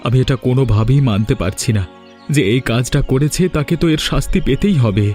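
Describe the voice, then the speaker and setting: A man's voice: mid-range voice, brisk, warm tone, lightly acted. A man voicing an indignant grown son defending his mother in a cartoon, stern. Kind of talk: cartoon dubbing. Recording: faint music behind.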